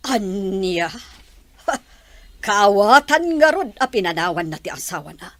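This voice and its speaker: A commanding middle-aged mother orders her maid about, as a woman plays her. Her mid-pitched voice moves a lot, recorded dry and close. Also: big pitch swings, unhurried, dry recording. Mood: talking. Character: mother of a rich family, middle-aged, bossy. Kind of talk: Ilocano audio drama acting.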